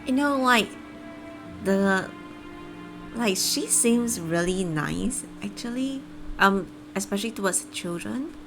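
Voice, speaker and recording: A woman live-streaming a game, chatting casually and haltingly as she thinks aloud. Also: medium pitch, expressive, music in the background, low-quality recording.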